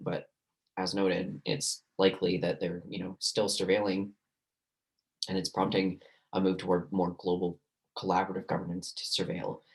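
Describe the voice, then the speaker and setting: Hoarse man, very low gravelly voice, recorded university lecture, lots of ums and restarts. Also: low-quality recording. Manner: loose, filler-heavy lecturing with many restarts. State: hoarse, losing his voice.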